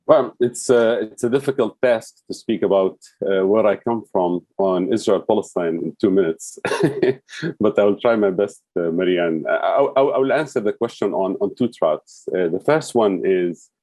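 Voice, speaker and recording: A man answering interview questions as a guest advocate, thoughtful and hesitant, pausing often to find his words. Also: on the low side, mellow, no room sound. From United States. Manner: thoughtful, hesitant, many fillers and restarts.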